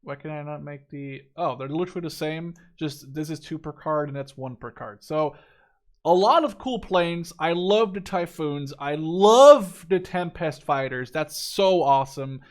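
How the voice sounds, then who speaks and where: Young guy reviewing a video game, kinda high voice, casual and excited, swears a bit. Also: a little slow. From Netherlands. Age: 20s. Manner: enthusiastic, opinionated, casually profane. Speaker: gaming video creator.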